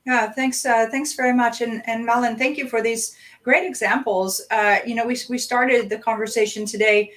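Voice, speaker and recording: A woman's voice: fairly high pitch, fairly even intonation, normal speed, few pauses, fairly bright tone. Woman moderator, gracious, steers questions, video call audio. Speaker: panel moderator. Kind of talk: panel discussion talk.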